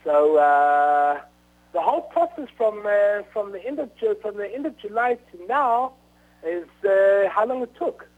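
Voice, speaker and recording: A middle-aged man with a South African accent answering interview questions in a halting way, full of uhs and restarts. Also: sits high, lively intonation. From Rhodesia, now Zimbabwe. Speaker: author guest. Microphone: phone. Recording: tinny phone line.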